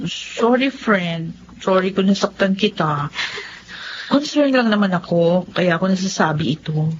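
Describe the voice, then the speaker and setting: A woman's voice: lowish voice, lively intonation, unhurried, highs cut off, slight background noise. An actress in a Filipino radio drama playing a frank, concerned female best friend. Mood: apologetic, concerned.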